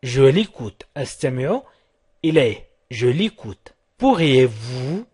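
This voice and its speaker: A man teaching a language, slowly repeating each short phrase several times and then translating it. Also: mid-range voice, big pitch swings, a little mellow, husky.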